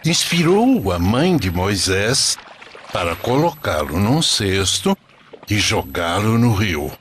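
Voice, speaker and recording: A man playing a devout, patient old grandfather narrating a sacred animated story. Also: lowish voice, slow pace, a little hiss or hum. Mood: solemn storytelling.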